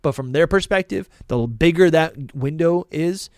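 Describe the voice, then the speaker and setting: Co-host, young guy, New England accent, slightly high voice, dry close mic. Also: unhurried, a little mellow, no echo. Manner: laid-back, reads viewer comments aloud. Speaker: podcast host. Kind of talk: casual livestream chat.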